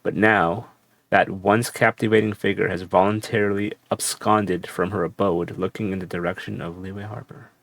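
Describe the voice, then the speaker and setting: A man giving casual gameplay commentary as a streamer, sometimes reading game text aloud, with a gravelly voice. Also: deep voice, fairly even intonation, moderate pace, a bit bright. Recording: faint game music behind. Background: game music.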